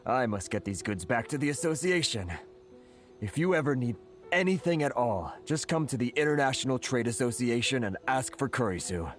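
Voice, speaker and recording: A man's voice: medium pitch, animated, normal speed, natural voice. A man voicing a timid, earnest merchant in a video game, hesitant and deferential. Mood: grateful. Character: timid, grateful male merchant, earnest and flustered. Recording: faint game music under the voice.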